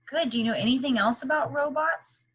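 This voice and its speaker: A woman's voice: high voice, a little fast, fairly bright tone, slightly rough. A woman teacher leading a read-aloud lesson for young children, with instructive, question-filled, encouraging phrasing.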